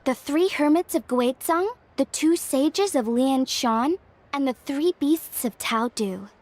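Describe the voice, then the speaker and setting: A woman's voice: high voice, animated, slow, darkish tone. A woman playing a modest, friendly young craftswoman in an English video game, politely explaining like a storyteller.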